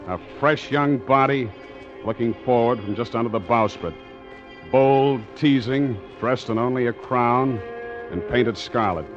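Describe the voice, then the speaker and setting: A man's voice: medium pitch, natural voice. Male actor for young sea captain, hard-boiled and wry, mid-range voice, vintage muffled radio drama. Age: 20s. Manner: clipped, wry, sardonic. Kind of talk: 1940s radio acting, hard-boiled narration. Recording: muffled 1940s radio recording with music.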